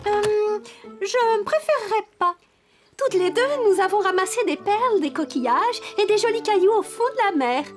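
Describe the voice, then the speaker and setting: A woman's voice: very high-pitched, lively intonation, moderate pace, music in the background. A woman voicing a dainty, particular young mermaid in a French children's cartoon.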